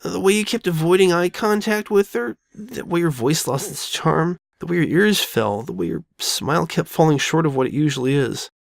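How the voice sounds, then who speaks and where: A man's voice: fairly high pitch, lively intonation, normal speed, gravelly, hardly any pauses, no room sound, studio quality. A man voicing a caring, perceptive young boy dragon with a casual, direct, youthful manner in a dramatic fan fiction reading. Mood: caring, frank.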